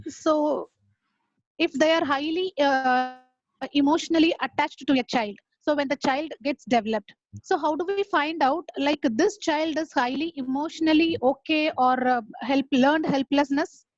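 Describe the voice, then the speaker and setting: A woman's voice: high-pitched, normal speed, dry recording, highs cut off. A woman calling in to a webinar to ask a question, hesitant, with many fillers. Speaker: webinar participant asking a question. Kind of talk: question on a call. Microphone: video call.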